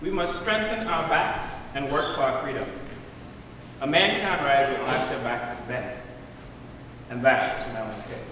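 A man's voice: mid-range voice, normal speed, bright, forceful tone. Echoey man reciting spoken word. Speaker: student performer in a spoken word program. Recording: very echoey hall, distant, background hiss.

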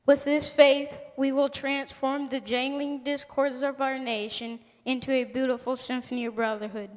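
A woman's voice: sits high, little pitch movement, moderate pace, a little mellow. A woman giving a theatrical recitation of oratory in a staged reading. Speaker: reader in a readers' theater presentation. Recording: echoing hall with long reverb.

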